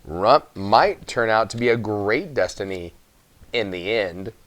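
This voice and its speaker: A man voicing a frail old grandmother in a storybook, comforting and gently brisk, with plain homely sayings. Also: lowish voice, lively intonation. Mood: reassuring. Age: older.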